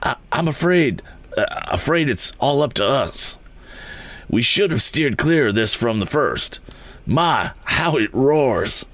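A man voicing a panicked eccentric gentleman aboard an airship, gasping and frantic, his voice pushed well above his own. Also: mid-range voice, expressive, moderate pace, hardly any pauses, no room sound, pitched above the performer's own voice.

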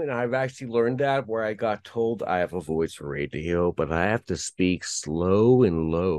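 A man's voice: low-pitched, darkish tone, no echo. A man co-hosting, playful and jokey in casual chat.

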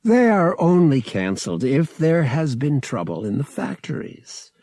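A man's voice: fairly high pitch, big pitch swings, a little slow, warm tone, no echo, clean studio sound, voice pushed up. A man voicing an enraptured, devout male alien pilgrim in an audiobook, eager and earnest. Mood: earnest, explaining.

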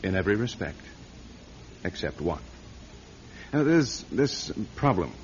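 Formal radio-drama doctor, lowish and bright, hugely animated, dull recording. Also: male voice, unhurried, slight background noise. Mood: grave, measured. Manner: clipped, formal, authoritative. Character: brisk, earnest hospital doctor, male.

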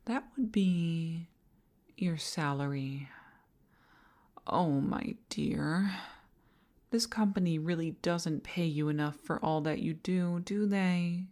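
A woman playing an obsessive older female boss in an intimate audio roleplay, coaxing with condescending sweetness and slipping into breathy half-whispers. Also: lowish voice, slow pace, long pauses, mellow. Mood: mock-sympathetic, coaxing.

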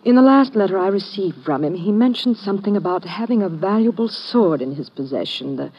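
A woman playing an anxious, hesitant jailed wife with a breathy voice in an old radio drama. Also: medium pitch, lively intonation, a little fast. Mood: pleading. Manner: hesitant, pleading. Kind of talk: 1950s radio drama acting. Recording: dull old radio recording.

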